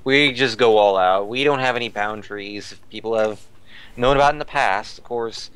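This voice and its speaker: A man's voice: fairly low pitch, normal speed, fairly bright tone, clean tone, no room sound, poor audio. A man co-hosting a casual fan chat show. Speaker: podcast co-host.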